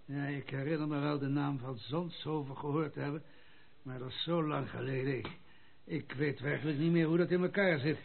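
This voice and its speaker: An actor playing a courteous, hesitant old grandfather and retired general in a Dutch radio play, husky and faltering with small pauses. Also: fairly high pitch, fairly even intonation. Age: older. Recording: tinny phone-like old radio play recording.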